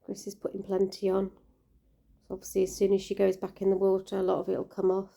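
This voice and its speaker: A woman with a croaky voice, speaking in a very dark, mellow tone. Her pitch stays flat and even as she chats gently about her fish, with the odd filler. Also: quick pace. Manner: gentle, caring, chatty with fillers. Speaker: koi keeper vlogger. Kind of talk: casual vlog narration.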